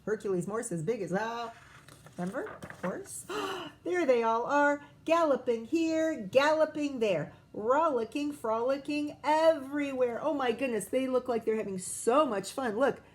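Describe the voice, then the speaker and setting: Higher-voiced, unhurried woman reading to children. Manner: expressive, prompting young listeners with questions. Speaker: teacher reading aloud. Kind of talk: children's picture book read-aloud with asides.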